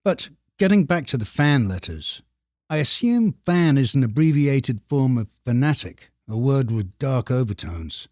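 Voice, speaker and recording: Mellow, deadpan man in a dry room. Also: medium pitch, dry recording. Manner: measured, deadpan, slightly formal. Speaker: podcast co-host. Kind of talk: conversational podcast discussion.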